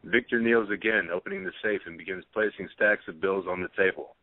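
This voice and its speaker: A man with a fairly low voice narrates in a scripted style, reading stage directions. The sound is dry and thin, like a phone line. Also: fairly quick, no room sound.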